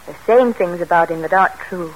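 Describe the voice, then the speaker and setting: An actress playing a gentle young mother soothing a child in old-time radio drama, tender and troubled. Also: lowish voice, brisk, a little hiss or hum, lightly acted, rough recording. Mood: tender, worried. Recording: vintage radio recording with music.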